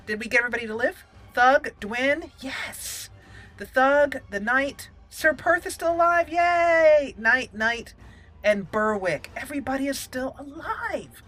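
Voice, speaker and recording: A woman's voice: sits high, a little slow, a bit bright. A woman giving casual, chatty video game commentary, talking through each step as she plays. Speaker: video game let's play host.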